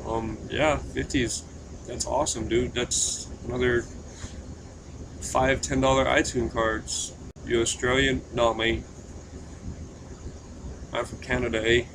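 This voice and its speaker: Man with slight background noise, halting delivery. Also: medium pitch, level tone, vocal fry. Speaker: live streamer, prank caller. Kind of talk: casual livestream chat and prank phone call.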